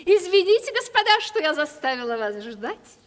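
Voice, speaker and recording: A high, swooping grande dame. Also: female voice, big pitch swings, moderate pace, fairly bright tone, exaggerated voice. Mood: gracious, grand. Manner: gushing, theatrical, self-justifying. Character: affected, vain ageing widowed landowner lady playing the victim. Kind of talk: 1970s Russian stage comedy radio acting. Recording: hall-like reverberant 1970s radio drama.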